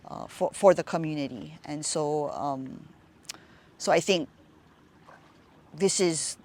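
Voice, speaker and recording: A middle-aged woman with a Hawaiian accent, a fishpond nonprofit director speaking in a reflective interview, unhurried and pausing often with fillers. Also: sits low.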